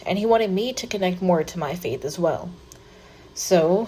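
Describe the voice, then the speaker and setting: A woman's voice: mid-range voice, a little mellow, breathy edge, poor audio. A woman narrating in first person as a young man recounting his life story, earnest and read from a script.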